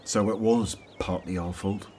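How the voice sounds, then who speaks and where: A man's voice: low-pitched, fairly even intonation, measured pace, mellow, a little hiss or hum. An actor playing an earnest, conscientious male disciple in a radio drama, emphatic and easily stirred. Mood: troubled, guilty.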